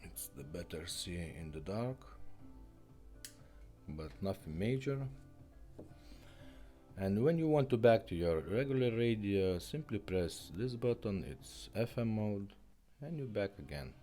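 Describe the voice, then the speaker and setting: Low-voiced man demonstrating gear. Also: animated, a little slow, dark tone, faint music behind. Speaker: car radio restorer demonstrating a product. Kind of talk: casual product demonstration.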